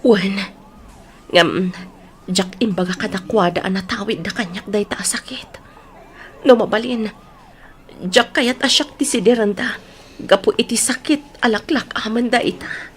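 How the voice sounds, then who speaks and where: A woman's voice: medium pitch, a little slow, pauses often, a little hiss or hum, natural voice. A woman playing an anxious, protective mother in an Ilocano radio drama, speaking emotionally and pleadingly with an Ilocano accent. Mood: sorrowful. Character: anxious, protective mother pleading over her sick sons.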